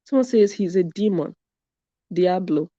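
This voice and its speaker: Emphatic woman, mellow and dry. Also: on the low side, animated, unhurried, dry recording. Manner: emphatic, repeats points for stress. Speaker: commentary channel host. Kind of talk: live-stream commentary reading viewer comments. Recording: dull lo-fi sound.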